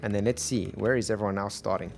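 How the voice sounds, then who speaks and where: A man's voice: on the low side, fairly even intonation, fast, vocal fry, music in the background. A man with a South African accent, chatting and thinking aloud as he streams a sim racing game. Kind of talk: live gameplay commentary. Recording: loud racing game engine noise behind. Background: loud car engine sounds from the game.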